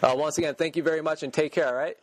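Quick, upbeat male podcast host. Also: a little high, steady tone, noisy background. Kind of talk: casual interview hosting.

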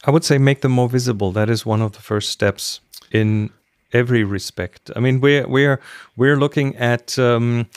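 A man's voice: mid-range voice, normal speed, smooth, no room sound. Middle-aged German man, dry close sound. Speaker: podcast co-host. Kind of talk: casual podcast discussion. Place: small room at home, indoors, video call. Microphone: close podcast microphone with headset.

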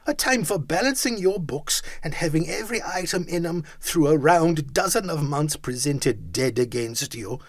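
A man's voice: on the high side, dry recording, studio quality, voice pushed up, heavily acted. A man voicing a bitter, miserly old man who talks sternly and growls his scorn.

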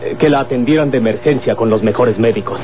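A man's voice: medium pitch, over loud music, lightly acted. A Mexican man playing a sober, respectful younger man reporting to his boss in an old radio drama. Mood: measured. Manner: measured, respectful. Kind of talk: Mexican golden-age radio serial acting. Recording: noisy, phone-like old radio recording.

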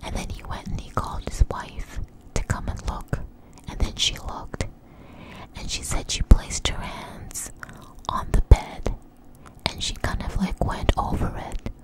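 A woman telling a story in a soft, breathy ASMR whisper, close to the mic, in a conversational, hesitant way. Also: a little slow, poor audio.

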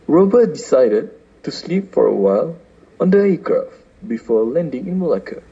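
A man's voice: a little high, big pitch swings, normal speed, mellow. A young man with a Malaysian accent, reading scripted narration for a student audio drama. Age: young adult. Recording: dull lo-fi recording.

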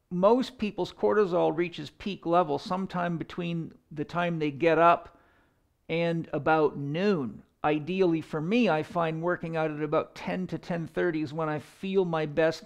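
A man in his fifties with a fairly high, creaky voice gives an explanatory lecture. He talks like a coach at a chalkboard, deliberate and emphatic, pausing between phrases. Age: 50s. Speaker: fitness coach and educator giving a lecture. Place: indoor room in front of a chalkboard.

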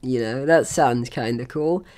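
A young adult gaming host giving casual let's play commentary in an excitable, sweary, rambling manner. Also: medium pitch, unhurried.

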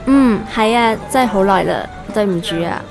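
Woman acting an expressive young Hong Kong woman. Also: mid-range voice, moderate pace, gravelly, music in the background, natural voice. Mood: surprised, apologetic. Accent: Hong Kong Cantonese. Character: emotional young woman, a protective younger sister. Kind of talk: Cantonese radio drama acting.

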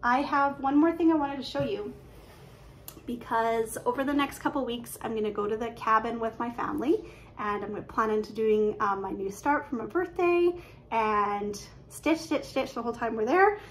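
This is a woman in her thirties with a fairly high, bright speaking voice, chatting eagerly to a webcam in her living room. She talks fast from excitement, peppered with fillers and small laughs. A light background hiss sits under the recording. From Canada. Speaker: cross-stitch vlogger. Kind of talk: casual show-and-tell chat. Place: living room at home, indoors. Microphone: webcam at desk distance.

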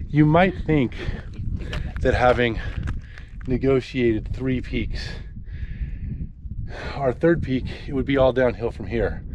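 A young man narrating a hiking vlog outdoors on a mountaintop in a dry, wry, understated way, recorded on an action camera. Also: medium pitch. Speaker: vlogger hiker. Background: background music.

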